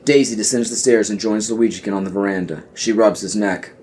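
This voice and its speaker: A man's voice: fairly low pitch, fairly even intonation, a little fast, a little mellow, a little hiss or hum. A man reading scripted scene directions as the narrator of an audio play.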